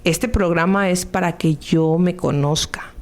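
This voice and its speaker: A woman's voice: on the low side, a little slow, darkish tone. A woman giving a conversational, explanatory radio talk as a support group member, teaching by asking and answering her own rhetorical questions.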